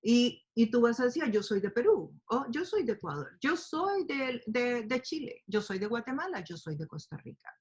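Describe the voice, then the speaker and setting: A woman teaching a Bible study to a group, speaking warmly and explaining with fillers and questions. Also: on the high side, sing-song, normal speed, few pauses, slightly rough. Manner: speaks to a group, asks them to open their Bibles, many fillers like "eh" and "este". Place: lives in the United States.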